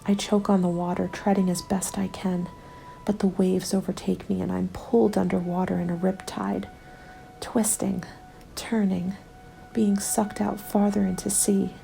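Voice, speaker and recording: A woman narrating a scripted first-person ASMR story in a soft, measured, often whispery delivery. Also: mid-range voice, level tone, vocal fry, poor audio. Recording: background music under the voice. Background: music.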